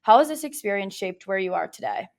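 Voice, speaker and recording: A woman's voice: mid-range voice, animated, brisk. A young woman hosting a podcast, reading an upbeat intro and asking questions. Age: young adult. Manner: upbeat, partly scripted intro. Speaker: podcast host.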